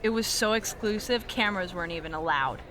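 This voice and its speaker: A woman's voice: mid-range voice, fast talker, a little hiss or hum, natural voice. An actress playing a boastful, bratty woman wrestling champion in a video game cutscene, smug and mocking. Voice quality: bright, taunting.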